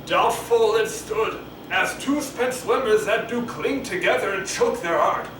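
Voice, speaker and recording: A man's voice: high-pitched, unhurried, voice pushed up. A man playing a bloodied soldier who grandly reports a battle, declamatory and dramatic, in an amateur play reading. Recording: echoey, noisy camcorder audio from far off.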